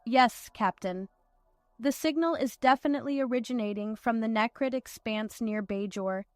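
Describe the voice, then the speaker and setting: A woman plays an analytical science officer in a sci-fi audio drama. Her voice is on the high side and formally precise. The sound is dry, with faint music underneath. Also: moderate pace, a little mellow, no room sound, natural voice. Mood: formal, reporting.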